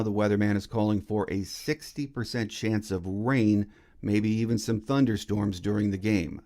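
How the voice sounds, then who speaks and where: A man's voice: lowish voice, a little mellow. A man in his sixties with an American accent, hosting a sports podcast with introductions and interview questions.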